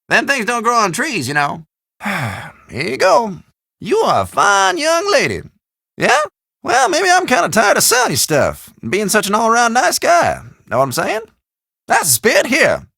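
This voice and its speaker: Game role: rambling country storekeeper, Southern drawl, bright and creaky, pitch swinging wildly. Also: male voice, no room sound, studio quality, heavily acted. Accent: American Southern or South Central country. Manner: folksy, rambling, theatrical drawl. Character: folksy, chatty, forgetful small-town male shopkeeper. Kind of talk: video game voice acting.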